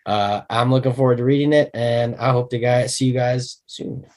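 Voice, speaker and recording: A man cast member introduces himself before the read begins. His voice is mid-pitched and his manner relaxed and unhurried, through a video call.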